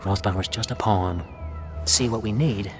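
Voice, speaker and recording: A man's voice: lowish voice, unhurried, a little mellow, soft background music, close to an everyday voice. A man playing a shrewd, confident wartime intelligence agent in a video game, explaining things conspiratorially. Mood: assured, scheming. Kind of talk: cinematic video game acting.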